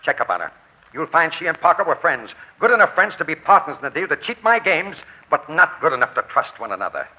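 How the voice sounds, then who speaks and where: An actor playing a smooth, evasive gambling club boss with a formal, measured manner in a 1940s radio crime drama. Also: male voice, on the high side, very animated. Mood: defensive, accusing. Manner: formal, measured, self-possessed. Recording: muffled, noisy old radio broadcast.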